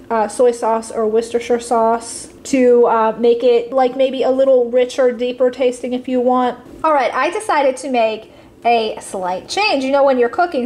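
A woman with a somewhat high voice walks through budget recipes in a relaxed, step-by-step way, pausing with casual fillers. Faint background noise sits under her voice. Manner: friendly step-by-step instructions with fillers. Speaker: cooking channel host. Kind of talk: casual cooking voice-over. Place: home kitchen.

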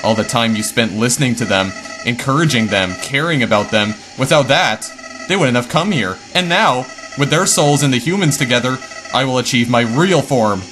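A man's voice: mid-range voice, expressive, normal speed, background noise, pitched below the performer's own voice, lightly acted. A man voicing a spiteful evil flower who taunts and cackles, with music playing underneath. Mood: menacing.

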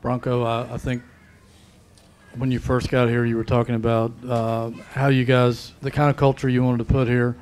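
A man asks a question as a reporter at a press conference. His voice is medium in pitch and very flat. There is faint noise in the room. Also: normal speed.

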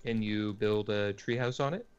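A man's voice: on the low side, normal speed, lo-fi sound, slight background noise. A man playing a co-op game and chatting in a laid-back, matter-of-fact way. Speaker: gamer. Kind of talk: casual co-op gameplay chat.

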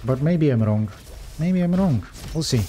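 A man's voice: fairly high pitch, animated, unhurried, mellow, a little hiss or hum. A man with a non-native English accent giving casual gameplay commentary, reading upgrade text aloud and chatting to viewers.

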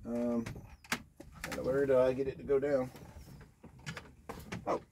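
A man in his sixties casually demonstrating boat motors, talking in a lively, rambling way and correcting himself, recorded outdoors on a small boat by a mounted camera. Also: medium pitch, slow pace. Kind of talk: casual product demo. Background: motor and water noise.

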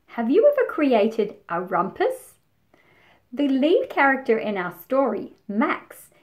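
Expressive high-voiced woman reading to children. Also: highs cut off. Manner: deliberate, dramatic phrasing for children. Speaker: children's story reader. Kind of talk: expressive picture-book read-aloud.

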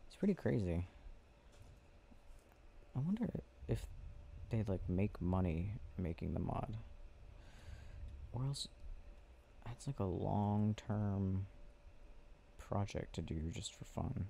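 A man with a low, mellow voice murmurs softly as if playing a sleepy boyfriend in an ASMR roleplay. His delivery is slow and hushed, with faint noise and clicking behind him. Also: big pitch swings, vocal fry. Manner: hushed, drowsy murmuring, unhurried pauses. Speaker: ASMR roleplay audio creator. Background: game clicking sounds.

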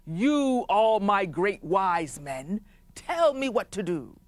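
A woman's voice: medium pitch, sing-song, slow, no echo. A woman voicing a pompous, irritable male chief who whines about losing sleep and barks orders at his court. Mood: complaining. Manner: whiny, demanding, escalating to frantic shouts.

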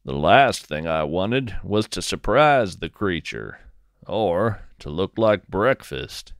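A man tells a scripted story in the first person, taking his time over each phrase. His voice sits slightly low and swings expressively up and down. The delivery is measured and descriptive, like a fiction podcast host narrating. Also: very animated, no room sound, studio quality.

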